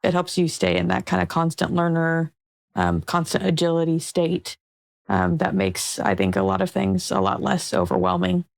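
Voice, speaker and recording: Gravelly, low-voiced podcast host woman. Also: very animated, normal speed, no room sound, clean studio sound. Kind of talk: casual interview conversation.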